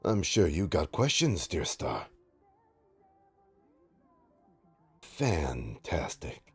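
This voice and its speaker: Man playing a very deep, gravelly suave supervillain. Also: huge pitch swings, faint music behind, exaggerated voice. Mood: wry, airy. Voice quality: gravelly, husky. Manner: drawling, pompous, mock-polite with dramatic pauses. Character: suave theatrical male supervillain, smug, teasing, flirting with his nemesis. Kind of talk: audio roleplay monologue addressed to listener.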